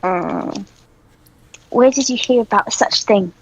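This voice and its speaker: A composed young lady of the manor from a visual novel, acted out by a man. Her voice sits high and she phrases things formally, in an old-fashioned way. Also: takes it slowly, faint music behind, heavily acted, low-quality recording. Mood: guarded.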